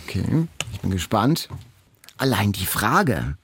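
Animated husky man in casual banter. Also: on the low side, unhurried, dry recording. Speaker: podcast co-host.

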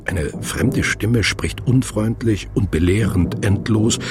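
An actor playing a sober narrator, reading literary radio drama narration in a measured, matter-of-fact way. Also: male voice, on the low side. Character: sober male narrator of a biography.

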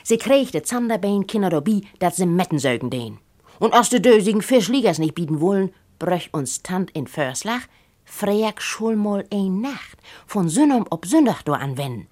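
A woman with a northern German, Low German accent reading a humorous story aloud in an expressive, acted-out manner. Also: normal speed. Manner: animated, acts out characters' speech.

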